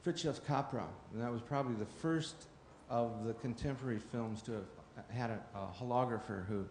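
A man in a conference audience who once made holograms, recalling past research in a measured way. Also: medium pitch, darkish tone. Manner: measured, recalling, with some restarts. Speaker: audience member, former hologram maker. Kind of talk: question-time comment. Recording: echoey hall, faint room noise.

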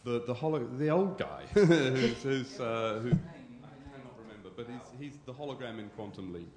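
A man in a conference audience, offering a comment in a halting way and searching for his words. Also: mid-range voice, crowd noise. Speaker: audience member. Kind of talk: question-time comment. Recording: echoey hall, faint room noise.

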